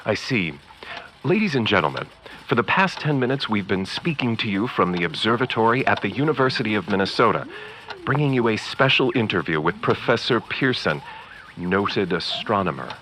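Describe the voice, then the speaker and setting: Low, forceful, pompous radio reporter. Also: male voice, very animated, moderate pace, a little hiss or hum. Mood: grave, formal. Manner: formal, theatrical, condescending. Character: pompous sexist male radio field reporter. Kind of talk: 1930s radio news acting.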